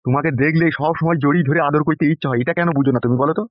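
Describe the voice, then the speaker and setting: A man's voice: a little high, fairly even intonation, very fast, no echo, dull audio, natural voice. A man voicing a corrupt, lecherous, pompous politician and gang boss in a comedy cartoon. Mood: pleading, insistent. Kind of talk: animated comedy cartoon dialogue.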